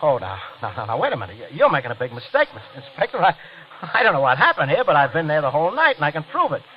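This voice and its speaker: A guilty, scheming American man in an old radio thriller. He talks quickly in a nervous, confiding stammer, with wide swings in pitch. The recording is muffled and hissy. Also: huge pitch swings. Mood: panicked, pleading. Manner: stammering, jittery, confiding in narration. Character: nervous guilty night-shift teletype repairman, scheming and evasive.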